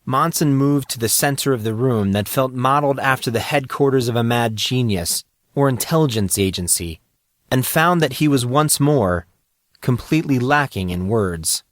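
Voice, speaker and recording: A man's voice: medium pitch, expressive, normal speed, dry recording, clean studio sound. A man reading scripted fiction as an audiobook narrator.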